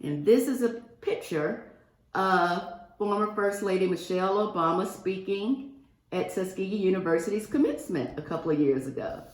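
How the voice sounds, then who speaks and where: A woman reading a picture book aloud to young children, expressive and addressing them directly. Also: mid-range voice, normal speed. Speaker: guest picture-book reader for a school class. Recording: roomy, echoing virtual-reading audio.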